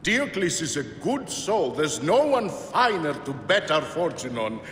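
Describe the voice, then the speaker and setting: A man's voice: sing-song, a little slow, a bit bright. An actor playing a worldly, candid man of the ancient world in a video game, wry and theatrical. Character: worldly, candid male citizen of ancient Cyrene. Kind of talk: dramatic video game acting. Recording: echoey hall reverb, faint rumbling noise.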